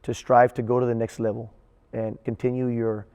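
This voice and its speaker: A man speaking as a theater director in an interview, earnest and halting, often repeating words as he thinks. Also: mid-range voice, level tone, long reverb tail, very noisy. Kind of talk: interview reflection.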